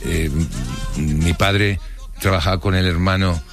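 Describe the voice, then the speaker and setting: Low-voiced middle-aged Spanish man reminiscing. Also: fairly even intonation, music in the background. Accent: Spanish from Spain. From Barcelona, Spain. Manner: colloquial, halting, reflective. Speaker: interviewee telling his life story. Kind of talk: casual spoken recollection.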